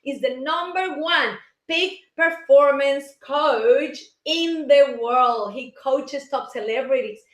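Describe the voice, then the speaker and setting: A woman's voice: high voice, slow, fairly bright tone. A woman in her forties from Mexico with a Mexican accent, giving an enthusiastic, chatty motivational talk on a live stream and greeting viewers. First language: Spanish. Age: 40s. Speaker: life coach hosting a live session. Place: colorful home office. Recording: echoey room.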